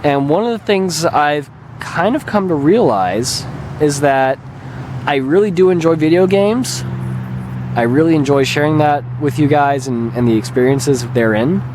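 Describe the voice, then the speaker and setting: A man with a mildly hoarse, slightly raspy voice, talking casually and hesitantly as he rambles through a vlog as its host. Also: fairly high pitch, lively intonation, measured pace, background noise. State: mildly hoarse, recovering throat. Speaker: video channel host and gamer. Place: open lakeshore beach outdoors. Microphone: handheld camera held by the speaker.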